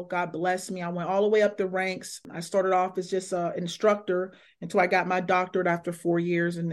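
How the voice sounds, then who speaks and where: A woman in her fifties, a minister and former coach, telling her life story in a lively interview and acting out what people said to her. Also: fairly low pitch. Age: 50s. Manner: lively, acts out quoted dialogue.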